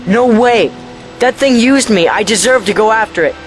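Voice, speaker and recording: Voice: medium pitch, moderate pace, faint music behind, close to an everyday voice. An actor playing a stubborn, guilt-ridden and defiant young boy in an audio drama, speaking impulsively.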